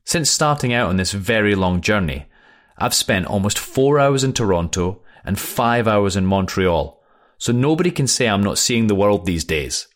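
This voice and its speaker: Audio drama: man to play breezy young airman writing home, lowish pitch, plain and cheerful delivery. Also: lively intonation, normal speed, natural voice. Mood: cheerful, reassuring. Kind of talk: read-aloud wartime letter.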